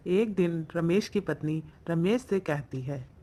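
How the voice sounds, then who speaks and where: A woman's voice: on the low side, expressive, takes it slowly, mellow. A woman reading scripted narration as the storyteller of a cartoon fable.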